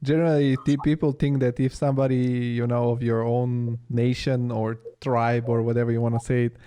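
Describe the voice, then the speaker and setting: Mellow-voiced man interviewing casually. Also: mid-range voice, level tone, moderate pace, clean tone. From Bulgaria and Italy. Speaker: podcast host, interviewer.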